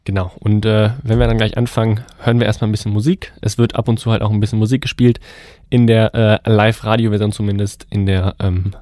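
A man's voice: lowish voice, flat delivery, fast talker, dark tone, dry recording, studio quality. A young man with a cold, co-hosting a student radio podcast in a loose, rambling way with many fillers and restarts. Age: young adult. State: has a cold. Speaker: podcast co-host. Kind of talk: casual radio podcast chat.

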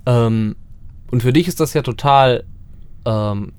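A man who hosts a casual interview and asks questions in a relaxed, chatty way. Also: mid-range voice, takes it slowly, smooth. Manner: relaxed, chatty, laughs easily.

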